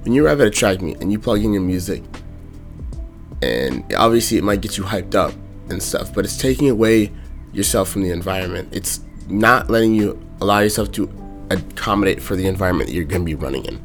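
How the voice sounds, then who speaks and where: Young man with a raspy, sore-throated voice. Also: sits low, brisk, faint music behind. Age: young adult. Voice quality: monotone, raspy. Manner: talks in loose runs with fillers. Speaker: vlogger. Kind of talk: casual ranting vlog.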